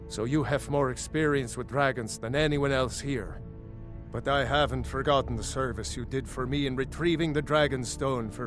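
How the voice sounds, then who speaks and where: A man playing an authoritative jarl of a fantasy city, measured and decisive, for a video game. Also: medium pitch, natural voice. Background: game music.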